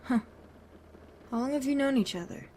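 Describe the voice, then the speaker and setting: A woman's voice: high voice, normal speed, darkish tone, a little hiss or hum, lightly acted. A woman playing a blunt, teasing, take-charge survivor in a zombie audio drama, casual and bossy. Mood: teasing, practical.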